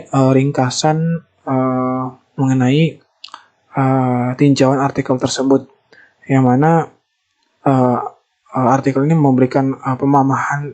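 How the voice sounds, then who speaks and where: Young man, halting student presenter. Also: medium pitch, darkish tone. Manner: hesitant, halting phrasing with frequent pauses. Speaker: master's student presenting an assignment. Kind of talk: slide presentation, semi-formal explanation.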